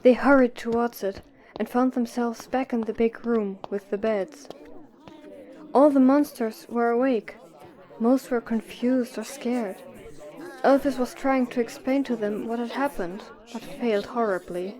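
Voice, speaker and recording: A woman's voice: a little high, a little slow, dark tone. A woman reading scripted narration for an audio drama.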